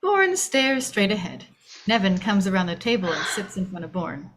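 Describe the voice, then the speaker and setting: Female narrator for script action lines, mid-pitched, dramatic scene-setting. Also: big pitch swings, moderate pace, fairly bright tone, a little hiss or hum. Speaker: narrator reading stage directions. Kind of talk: screenplay table read narration.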